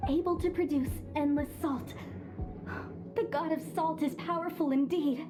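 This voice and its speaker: A woman's voice: sits high, fairly even intonation, moderate pace, close to an everyday voice. An actress playing an earnest, scholarly young woman who speaks plainly and reproachfully. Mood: curious. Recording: game cutscene over music. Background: music.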